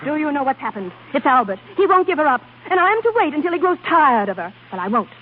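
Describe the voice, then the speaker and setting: High-pitched, tempestuous opera diva, tinny radio. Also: female voice, very animated, quick pace, slight background noise. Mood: impulsive, determined. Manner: melodramatic, imperious. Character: fiery, jealous, romantic opera diva. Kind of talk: 1930s radio acting. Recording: tinny 1930s radio recording.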